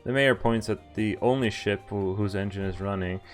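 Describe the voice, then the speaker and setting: A man with a fairly low voice streams a visual novel, reading its narration aloud. His delivery is expressive but informal, open to offhand remarks. Faint music plays behind him. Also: moderate pace. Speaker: game streamer. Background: game music.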